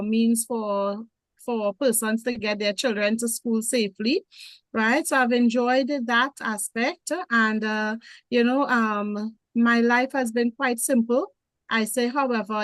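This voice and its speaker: A woman's voice: fairly high pitch, no room sound. A woman in her forties with a Trinidadian accent, a nurse introducing herself as a panel guest in a rambling way with many fillers. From Trinidad and Tobago.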